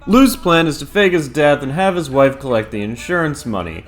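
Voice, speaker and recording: Guy doing a countdown review voiceover, animated, sweary and casual, music underneath. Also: fairly high pitch, moderate pace. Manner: casual, profane. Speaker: video reviewer and host. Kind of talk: scripted countdown review commentary.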